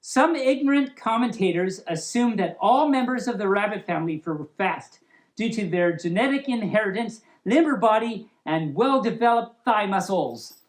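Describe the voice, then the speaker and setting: A woman's voice: medium pitch, lively intonation, moderate pace, low-quality recording. Woman storyteller, playful, giggly, casual asides, humorous read-aloud, riffing on words. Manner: playful, giggly, stumbles over words and riffs on them. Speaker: storyteller, show host.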